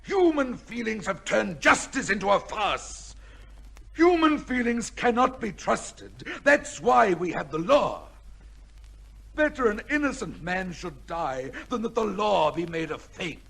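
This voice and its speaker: Anguished, high-voiced condemned gentleman. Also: very animated, vocal fry, husky, full character voice. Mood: impassioned despair. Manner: halting, impassioned, formal theatrical delivery. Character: condemned titled gentleman, anguished, broken, rigidly devoted to law. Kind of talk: old-time radio drama acting.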